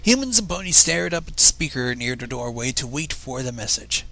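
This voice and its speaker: A man's voice: mid-range voice, sing-song, brisk, gravelly, bright, forceful tone. A man reading a story aloud with casual asides, stumbling over words and going back over them. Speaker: fan fiction reader.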